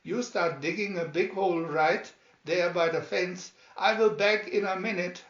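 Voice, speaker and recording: A man voicing a gruff, plucky cartoon sailor with folksy, ungrammatical speech, in a storybook reading. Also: high-pitched, a little slow, a bit bright, pitched above the performer's own voice. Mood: sly, confident. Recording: dull, roomy home recording.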